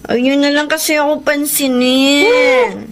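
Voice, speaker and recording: A woman's voice: sits high, slow, background noise. A woman playing a feisty, hopeful daughter in a Filipino radio drama, dramatic and sassy, with a Filipino accent. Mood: snappy retort. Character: feisty, hopeful daughter dreaming of a foreign husband.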